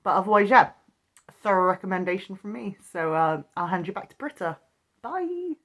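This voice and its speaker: A woman's voice: mid-range voice, lively intonation, normal speed, a bit bright, slight background noise. A woman giving a casual, chatty guest review of a game in an informal, giggly manner.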